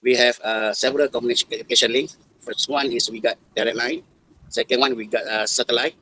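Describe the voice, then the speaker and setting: A man with a Malaysian accent giving explanatory interview answers in a halting manner with non-native phrasing. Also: mid-range voice, fairly even intonation, pushed and bright, a little hiss or hum, low-quality recording. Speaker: interviewed air traffic control expert.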